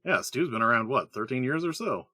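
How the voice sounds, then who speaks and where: A man acts an eager gnome sidekick in an audio comedy. His voice is bright and mid-pitched, playful and jokey, with a dry sound. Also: lively intonation, a little fast, no echo. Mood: cheerful, jokey.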